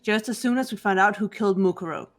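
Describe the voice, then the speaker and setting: A woman voicing a calm, analytical teenage girl detective in a fan-voiced game. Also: medium pitch, lightly acted. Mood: composed. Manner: calm, measured.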